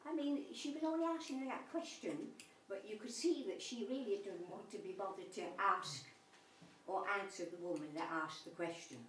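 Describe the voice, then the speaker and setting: A woman speaking at a middle pitch, animated and indignant, acting out quoted speech. She sounds far from the mic in a roomy space with a faint hiss behind her. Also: moderate pace. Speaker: audience member. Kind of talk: group discussion. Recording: distant and roomy, faint background noise.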